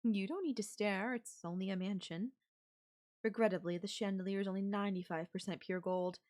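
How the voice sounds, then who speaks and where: A woman's voice: medium pitch, steady tone, brisk, darkish tone, close to an everyday voice. A woman playing a controlling, wealthy CEO in an audio roleplay, speaking in an imperious, formal and coldly condescending manner. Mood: haughty, condescending. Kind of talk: ASMR audio roleplay acting.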